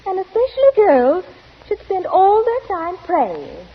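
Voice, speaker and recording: A woman's voice: very high-pitched, huge pitch swings, a little slow, in a large hall, heavily acted. An actress playing a naive, cheeky young working-class woman, girlish and outspoken, in 1940s radio style. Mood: amused. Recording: muffled, noisy 1940 radio recording.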